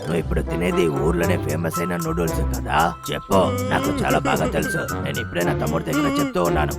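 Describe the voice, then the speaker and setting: An actor voicing a cocky, scheming elder-brother bear in a cartoon dub, with a gravelly, animated, exclamatory delivery. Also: male voice, deep voice, quick pace, heavily acted. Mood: cocky, wheedling. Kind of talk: Telugu cartoon dubbing. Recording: loud music over the voice. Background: loud cartoon music.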